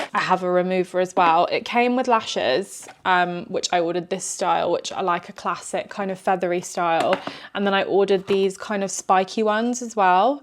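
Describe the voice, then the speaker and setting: A woman's voice: mid-range voice, noisy background. A young woman vlogging casually at her dresser while doing a beauty routine, chatty and self-deprecating, slightly congested from a cold. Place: bedroom at a dresser mirror.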